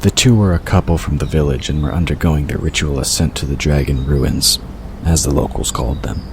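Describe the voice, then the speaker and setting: A man's voice: extremely low pitch, a little fast. A man reading scripted audiobook narration of a story. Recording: clear noise behind the voice. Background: ambient sound effects.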